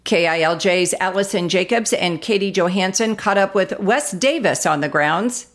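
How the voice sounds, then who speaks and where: A woman's voice: mid-range voice, moderate pace. A woman with an American accent reading scripted local radio news as an announcer.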